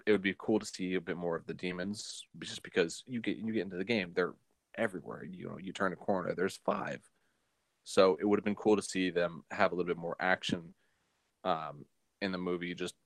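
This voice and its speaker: A man co-hosting a casual film discussion, giving his opinions in a measured, matter-of-fact way. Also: low-pitched, fairly bright tone, no echo. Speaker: film podcast co-host.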